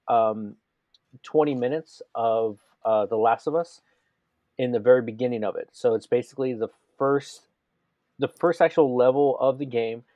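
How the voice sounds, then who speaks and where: A man's voice: medium pitch, dark tone, no room sound, muffled recording. A man co-hosting a casual gaming podcast, talking enthusiastically and rambling with many restarts. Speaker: podcast co-host.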